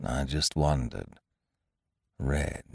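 A man's voice: very deep voice, very animated, slow pace, no room sound, studio quality, full character voice. A man voicing a gloomy old donkey in a storybook, mournful, murmuring and resigned. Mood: gloomy resignation.

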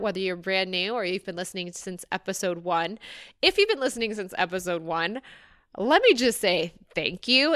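Woman, podcast host, smooth clear voice, dry close sound, chatty, upbeat. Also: mid-range voice, fairly bright tone, dry recording. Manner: chatty and upbeat, laughs often. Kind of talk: casual solo podcast monologue.

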